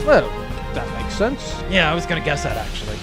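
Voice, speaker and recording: A man plays a sarcastic starship captain in a comic space audio drama. His voice sits high, with a dry, faltering delivery. Loud music runs underneath. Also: wildly swooping pitch, fairly quick. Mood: wry. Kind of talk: comedic audio drama acting.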